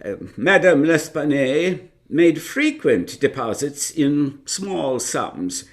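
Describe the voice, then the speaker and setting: An actor in a live radio drama playing a formal, halting male banker giving testimony. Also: on the high side, animated. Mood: formal, hesitant. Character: eldest son banker, formal, hesitant male witness.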